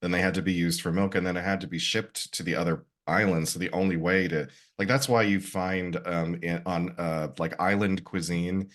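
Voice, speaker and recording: A man with a very low, deep voice co-hosting a casual podcast. He explains things calmly and pauses to think as he goes. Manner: calm, explanatory, thinks aloud. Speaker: podcast co-host.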